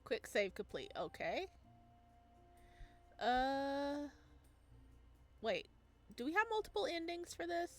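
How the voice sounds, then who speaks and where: A woman hosting a let's play, reading aloud and chatting casually with playful, excitable reactions. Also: high voice, slow, pauses often, faint music behind. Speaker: gaming channel host.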